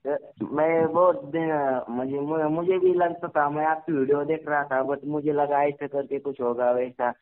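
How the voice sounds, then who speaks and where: Halting young man on a phone line. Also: fairly high pitch, steady tone. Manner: halting, repeats words, deferential. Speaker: caller. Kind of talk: casual phone chat. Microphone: telephone. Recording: tinny narrowband phone call recording.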